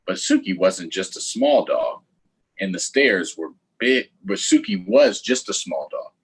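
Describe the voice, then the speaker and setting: A man hosts a story time for young children in a husky voice. His pitch swings widely as he reads and chats. His delivery is playful and sing-song, with casual asides to the viewers. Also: wildly swooping pitch, a little slow.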